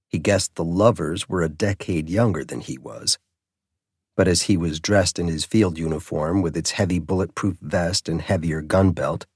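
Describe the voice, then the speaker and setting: Mystery audiobook narrator: adult male, lower register, scripted prose, close dry sound. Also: breathy edge, dry recording.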